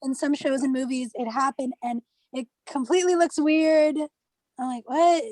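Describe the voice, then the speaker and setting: High-pitched young woman, casual discussion. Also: fairly even intonation, normal speed, darkish tone, no echo. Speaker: media studies student.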